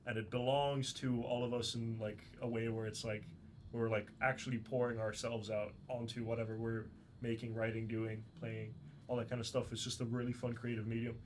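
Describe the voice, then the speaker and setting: A man's voice: medium pitch, level tone, brisk, slight background noise. A young man from Romania, a drummer in a band, speaking earnestly and thoughtfully about making music. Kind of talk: casual interview.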